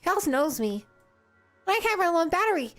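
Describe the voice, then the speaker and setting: A woman's voice: extremely high pitch, sing-song, brisk, a bit bright, faint music behind, heavily acted. A woman voicing a forlorn, empty-headed little robot helper from a video game, naive and earnest. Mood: earnest, eager.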